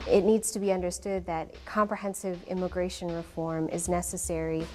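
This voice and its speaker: A woman advocate speaking earnestly and deliberately in an interview. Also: lowish voice, little pitch movement, dark tone, a little hiss or hum. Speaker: immigration advocate.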